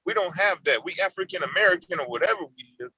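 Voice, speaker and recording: A man's voice: high-pitched, lively intonation, fast talker, pushed and bright, breathy edge, no echo, poor audio. A man of about fifty on a discussion panel, speaking in a preachy way and often asking if listeners understand him. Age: around 50. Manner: preachy, repeats "you understand what I'm saying".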